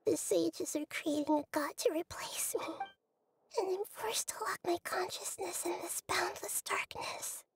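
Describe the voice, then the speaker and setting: High, hushed, sorrowful child goddess. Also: female voice, vocal fry, exaggerated voice. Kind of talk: video game voice acting.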